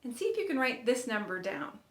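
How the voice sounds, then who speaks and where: A woman's voice: on the high side, sing-song, a little fast. Upbeat woman teaching, echoey room. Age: 50s. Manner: upbeat, encouraging, laughs at own slips. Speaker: sign language teacher. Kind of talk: counting aloud while demonstrating signs.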